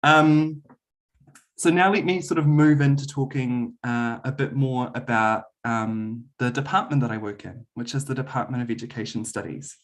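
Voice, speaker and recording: A man's voice: medium pitch, fairly even intonation, moderate pace. A man with a New Zealand accent giving a friendly university webinar presentation over a video call, with frequent "um" fillers. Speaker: university lecturer.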